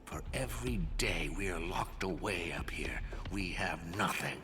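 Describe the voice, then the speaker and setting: An actor playing a wry, conspiratorial stranger in a video game, with a hushed, confiding manner. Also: male voice, low-pitched, animated, moderate pace. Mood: confiding, sombre. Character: wry, conspiratorial male stranger guiding an escaped prisoner. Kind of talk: video game character acting.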